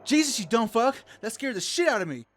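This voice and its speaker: A man's voice: extremely high pitch, very animated, fairly quick, fairly bright tone, faint music behind, voice pushed up, exaggerated voice. A man voicing a jumpy, blunt, foul-mouthed young musician in a horror story. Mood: panicked, angry. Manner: blunt, agitated, swearing. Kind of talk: horror story character dialogue.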